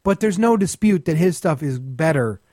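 High male voice, dry studio sound, blunt, scornful, emphatic, sweary comedic ranting, podcast guest. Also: expressive, moderate pace, a little mellow, no room sound. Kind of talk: profane comedic ranting, casual chat.

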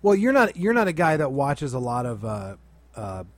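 A man's voice: mid-range voice, very animated, moderate pace, dry recording. A man co-hosting a radio show, giving casual conversational commentary.

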